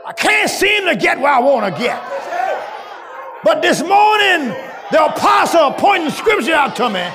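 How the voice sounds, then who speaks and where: A man preaching a sermon to his congregation, shouting and chanting in a call-and-response style. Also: extremely high pitch, sing-song, normal speed. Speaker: pastor. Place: large church. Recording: crowd and noise behind, loud. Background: congregation crowd.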